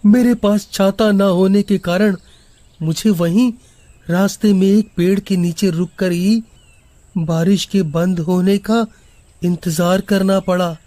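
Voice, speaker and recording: A man's voice: high-pitched, fairly even intonation, slow pace, mellow, no room sound. A man voicing an anxious, pleading young schoolboy in a storybook horror tale, with an earnest delivery. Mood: apologetic. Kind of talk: animated story dubbing in Hindi.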